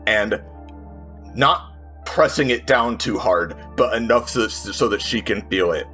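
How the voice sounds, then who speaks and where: Bright, forceful, slow-talking male player. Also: on the low side. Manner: deliberate, descriptive. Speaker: tabletop game player. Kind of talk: improvised third-person character narration.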